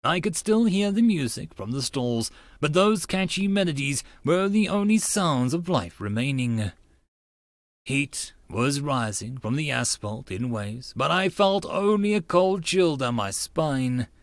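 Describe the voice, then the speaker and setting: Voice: mid-range voice, lively intonation, unhurried, no room sound. An audiobook narrator giving a theatrical, emphatic first-person reading of an anime-style story. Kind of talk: dramatic first-person reading of a visual novel.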